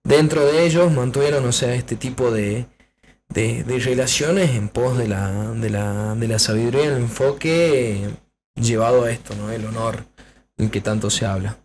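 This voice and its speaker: A man's voice: mid-range voice, moderate pace, darkish tone, a little hiss or hum. A man co-hosting a casual podcast, speaking hesitantly with frequent restarts. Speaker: podcast co-host.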